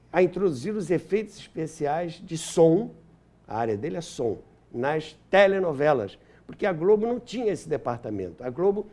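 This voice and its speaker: A man's voice: fairly high pitch, mellow. An older Brazilian man telling anecdotes as an interview guest, emphatic and repeating words as he searches for the next one. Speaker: interview guest, radio historian and author.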